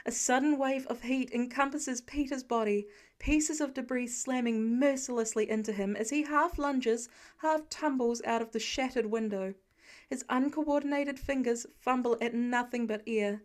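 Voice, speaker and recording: A woman's voice: a little high, normal speed. A woman reading scripted story narration as an audiobook-style narrator. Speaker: podfic narrator.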